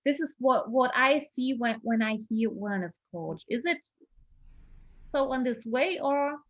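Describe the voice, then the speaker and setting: A woman's voice: a little high, lively intonation, measured pace, dry recording, lo-fi sound. A woman with a German accent, a non-native speaker interviewing casually and searching for her words.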